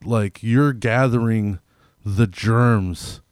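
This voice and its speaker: Man, low clear voice, dry close sound, slangy, rambling, sweary casual chat. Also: drawn-out words, darkish tone, dry recording. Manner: rambling, slangy, piling up hypothetical examples. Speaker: podcast co-host. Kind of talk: casual chat, profane banter.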